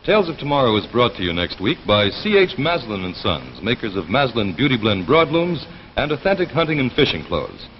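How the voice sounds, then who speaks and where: A young man as a commercial spokesman, reading a scripted 1950s television advertisement. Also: medium pitch. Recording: muffled, noisy, reverberant old television soundtrack.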